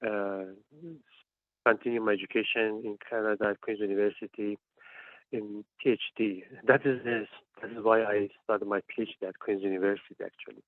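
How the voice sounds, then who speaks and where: Iranian-born guy on the phone, halting speech, restarts a lot, sounds like a phone line. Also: fairly low pitch, fairly even intonation, no room sound. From Iran. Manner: hesitant, restarts often. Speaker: panelist, PhD student. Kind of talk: panel discussion. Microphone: phone call. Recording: tinny dry phone line.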